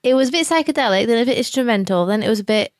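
A woman's voice: on the high side, lively intonation, rapid-fire, dry recording, clean studio sound. A woman in her late thirties co-hosting a podcast, casual and teasing in banter. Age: 30s. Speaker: podcast co-host. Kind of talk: casual chat.

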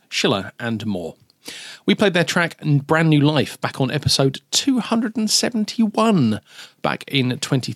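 A man's voice: mid-range voice, fairly bright tone, dry recording. A man co-hosting a casual music podcast from a studio, cheery and chatty, often stumbling and restarting his words. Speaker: podcast co-host.

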